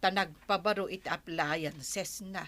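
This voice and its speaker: A woman's voice: fairly low pitch, measured pace, fairly bright tone. A woman playing a plain-spoken, grumbling and resigned village wife in a radio comedy, with a Filipino accent. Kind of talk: Ilocano radio comedy drama acting.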